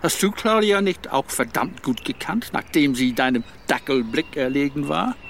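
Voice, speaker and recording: An actor playing an aging Northern Irish ex-soldier, wry, nostalgic and evasive, in a German radio crime drama. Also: male voice, medium pitch, moderate pace, vocal fry, fairly bright tone, slight background noise. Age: older.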